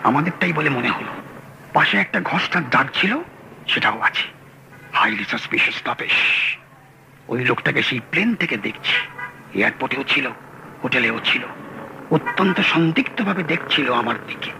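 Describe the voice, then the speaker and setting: An actor playing a fussy, excitable middle-aged thriller writer in an old radio play. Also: male voice, mid-range voice, moderate pace, vocal fry, pauses often, very noisy. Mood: anxious. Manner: excitable, fretful, pompous. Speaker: Lalmohan Babu. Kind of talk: 1970s radio drama acting. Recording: old radio broadcast with music.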